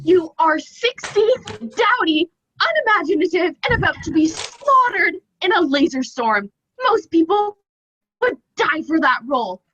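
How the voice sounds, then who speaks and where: Teenage girl acting bossy playwright-director, high animated voice, pompous, exasperated, comedy play, muffled lo-fi call. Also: measured pace, full character voice. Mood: imperious, exasperated. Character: imperious, exasperated writer-director guarding her script. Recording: dull lo-fi video-call audio.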